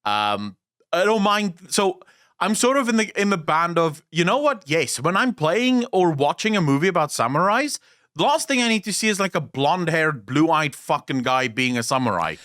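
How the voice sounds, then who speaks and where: A man's voice: on the high side, very animated, moderate pace, a bit bright. A man whose first language is Afrikaans, co-hosting a gaming podcast in casual, blunt, profane chat. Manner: blunt, profane, opinionated ranting. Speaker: podcast co-host.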